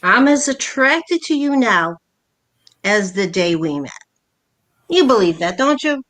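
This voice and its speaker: A blunt, unsentimental middle-aged wife and mother acted by a woman in an amateur play reading. The voice is high and bright with a forceful edge, and the delivery is brusque and impatient. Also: big pitch swings, a little slow. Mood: reassuring. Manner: brusque, matter-of-fact, impatient.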